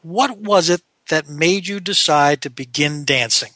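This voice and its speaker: A male show host with a bright, forward voice sitting a little high for a man. He reads a warm-hearted introduction with earnest enthusiasm, then moves into an interview. The sound is dry and close, with no room around it. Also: measured pace, no echo. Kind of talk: scripted guest introduction and interview.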